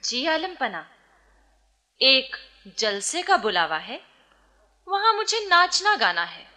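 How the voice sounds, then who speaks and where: Bold, enigmatic bright-voiced dancing girl. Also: high voice, very animated, takes it slowly, heavily acted. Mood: coy, teasing. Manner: teasing, pointed, poised. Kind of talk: theatrical Hindi radio-play acting.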